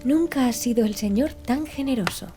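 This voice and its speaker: A woman's voice: a little high, normal speed, mellow, soft background music, lightly acted. A woman voicing a polite, deferential young manservant in formal, courteous audiobook dialogue. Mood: deferential, content.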